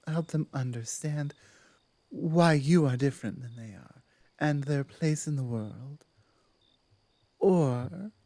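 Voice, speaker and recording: A woman voicing a dominant but softening werewolf pack leader in an intimate ASMR roleplay, speaking in measured, deliberate phrases with weighty pauses. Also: low-pitched, no room sound. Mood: stern, firm. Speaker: audio roleplay performer.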